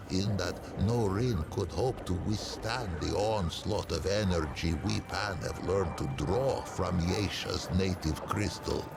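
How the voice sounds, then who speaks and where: An actor playing a solemn, wise alien forest-dweller in a video game, speaking in measured, formal, stately phrases with a creaky voice. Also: male voice, heavy background noise, heavily acted. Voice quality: low, creaky. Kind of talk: theatrical video game character acting. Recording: loud music under the voice.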